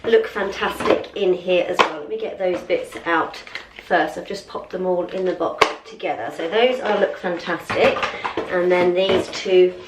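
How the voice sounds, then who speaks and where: A woman's voice: lowish voice, unhurried, very noisy. Gushing woman, slightly stuffy. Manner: chatty and gushing, repeats words for emphasis. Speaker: lifestyle vlogger. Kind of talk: casual try-on and unboxing chat. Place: room at home. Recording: echoey room, voice from a distance.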